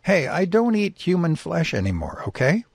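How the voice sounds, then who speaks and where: Man voicing an exasperated, principled grizzly bear, husky. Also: fairly high pitch, wildly swooping pitch, moderate pace, dry recording, studio quality, voice pushed up, full character voice. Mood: indignant, exasperated. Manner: emphatic, indignant explaining.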